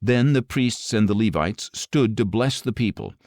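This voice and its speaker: A man narrating scripture from a script as an audiobook reader, solemn and measured, with clear enunciation. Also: on the low side, lively intonation, a little mellow, no room sound, studio quality.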